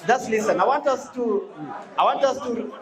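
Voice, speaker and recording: A man's voice: extremely high pitch, moderate pace. A male student debater gives an insistent rebuttal, repeating himself to hold the floor. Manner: insistent, repeats phrases to command attention. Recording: echoey hall with a noisy crowd.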